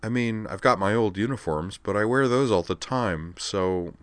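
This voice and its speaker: A man's voice: fairly low pitch, moderate pace, dry recording, natural voice. A man voicing an eager, earnest young woman student in an audiobook, talking in a chatty, slightly sheepish way. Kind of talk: audiobook character voice.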